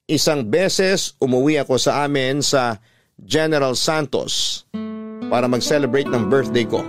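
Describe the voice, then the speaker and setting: A man's voice: mid-range voice, unhurried, soft background music, natural voice. An actor playing a generous younger brother who narrates his family story in first person for a drama podcast. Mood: reflective. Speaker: narrator of the story, telling it as Ralph.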